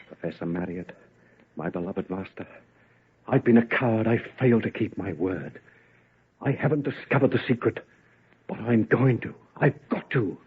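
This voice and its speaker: An actor playing an impatient, blunt, obsessive scientist in old-time radio drama, in a theatrical manner. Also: male voice, on the low side, expressive, normal speed, pauses often. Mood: anguished, intense. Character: brusque, driven male scientist, obsessive and teasingly gruff. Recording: muffled phone-like old radio recording.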